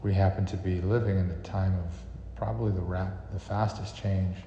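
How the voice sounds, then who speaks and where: Deep-voiced man guiding a meditation. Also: little pitch movement, mellow, slight background noise. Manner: unhurried, soothing instruction with long pauses. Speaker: meditation guide. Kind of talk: guided meditation with astrology teaching. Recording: reverberant echo, slightly muffled, music behind. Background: music.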